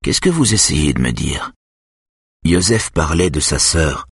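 A blunt police inspector in an audiobook, speaking calmly and curtly as a male narrator gives him voice. The voice is deep and husky, and the sound is dry. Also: a little slow, no room sound, full character voice. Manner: calm, curt.

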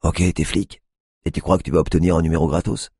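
A man's voice: lowish voice, lively intonation, fairly quick, warm tone, breathy edge, no room sound, clean studio sound, pitched above the performer's own voice. A man voicing an agitated, drug-addicted female sex worker who mocks and swears at a detective. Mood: hostile. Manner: mocking, aggressive, swearing.

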